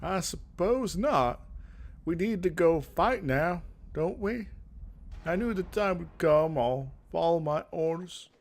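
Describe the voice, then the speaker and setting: A man voicing a scarred young fantasy game warrior, bitter but earnest and eager to prove himself, with a solemn, measured, dramatic delivery. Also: on the high side, expressive, voice pushed up, exaggerated voice. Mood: resigned, weary.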